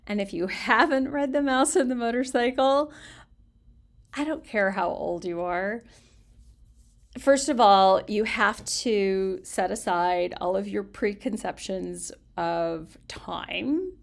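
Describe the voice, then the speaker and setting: A woman around her sixties chatting casually and affectionately to camera, rambling in an expressive, giggly way and a little punchy from lack of sleep. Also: mid-range voice, slow, creaky. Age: older, around 60s. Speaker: storytelling vlogger.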